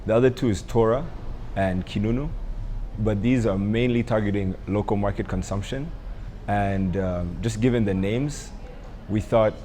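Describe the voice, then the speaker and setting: A young man from Rwanda answering interview questions about his coffee business, pausing often with ums and uhs. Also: lowish voice, dark tone, slight background noise. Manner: hesitant, many ums and uhs. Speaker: coffee entrepreneur.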